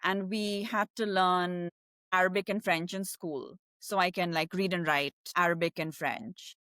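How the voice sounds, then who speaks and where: A woman's voice: on the low side, fairly even intonation, a little slow, fairly bright tone, smooth, dry recording, clean studio sound. A woman with an Indian English accent, a guest speaking directly and candidly, often saying "like". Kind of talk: conversational interview.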